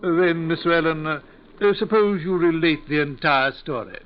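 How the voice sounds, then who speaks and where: Casting: male actor as inquisitive ship's captain, authoritative, higher lively voice, old-time radio drama, dull recording. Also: natural voice. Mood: curious, probing. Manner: deliberate, probing, slightly halting. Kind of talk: 1940s radio acting. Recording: muffled, faintly noisy 1940s radio broadcast.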